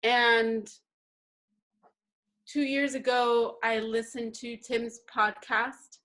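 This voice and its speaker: Unhurried Guatemala-raised woman. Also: a little high, little pitch movement, fairly bright tone. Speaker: workshop participant. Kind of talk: personal story to a group.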